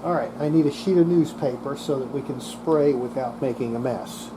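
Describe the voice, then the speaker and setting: Casual workshop narrator over clear background noise. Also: mid-range voice, normal speed. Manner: talks through each step while working. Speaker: host demonstrating radio restoration.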